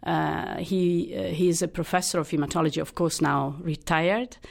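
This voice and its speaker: Brief: middle-aged Italian-accented woman, low gravelly voice, reflective interview guest, halting pace. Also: steady tone. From Italy. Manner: thoughtful, pausing with frequent uh fillers. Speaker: hematologist guest. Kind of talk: radio interview conversation.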